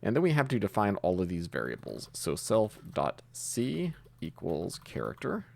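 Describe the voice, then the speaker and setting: A man's voice: sits low, wildly swooping pitch, normal speed, vocal fry. A man presenting an informal step-by-step screencast tutorial, explaining things as he goes. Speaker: host of a programming tutorial channel.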